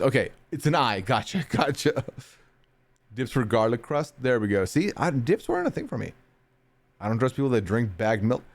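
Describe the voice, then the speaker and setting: A man streaming and answering chat in a rambling, casual way with frequent laughs, his accent sometimes taken for British. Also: medium pitch. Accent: mixed, sometimes heard as British. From North America. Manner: chatty, rambling, laughs often.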